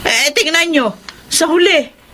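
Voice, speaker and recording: A woman's voice: high-pitched, takes it slowly, sharp tone, exaggerated voice. A woman playing a blunt, resentful grown daughter with an emphatic, scornful delivery in a radio drama. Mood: bitter, scolding. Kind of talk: Tagalog radio drama acting.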